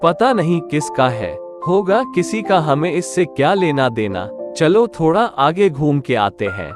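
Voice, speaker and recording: A woman's voice: low-pitched, sing-song, a little slow, pitched below the performer's own voice. A woman voicing an impatient, dismissive male crow, a husband in a cartoon story. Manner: brusque, dismissive. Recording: music underneath.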